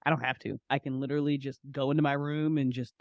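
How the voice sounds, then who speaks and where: A woman's voice: extremely low pitch, little pitch movement, quick pace, no room sound, muffled recording. A woman speaking as an interview guest in an informal, passionate way, leaning on key phrases and repeating them for emphasis. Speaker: podcast guest, independent entrepreneur. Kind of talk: casual, passionate interview conversation.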